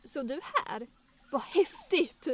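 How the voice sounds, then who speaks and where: A woman's voice: high voice, lively intonation, a bit bright. A woman voicing a young lion cub prince in a cartoon fan dub, with a childlike, earnest way of talking. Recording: music behind the voice.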